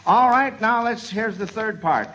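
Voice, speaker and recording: A man's voice: high-pitched, lively intonation, unhurried. Folksy 1940s radio quiz host, a man. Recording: old radio recording with faint noise.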